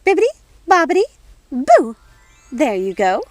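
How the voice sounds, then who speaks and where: Prim bossy storybook fairy godmother, high squealy voice, scolds and fusses, dry close sound. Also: sing-song, measured pace, darkish tone, no echo, faint music behind. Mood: pleased, brisk. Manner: squealing, chiding, effusive. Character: fussy, prim, bossy fairy godmother, easily vexed sister. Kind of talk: audiobook character voice.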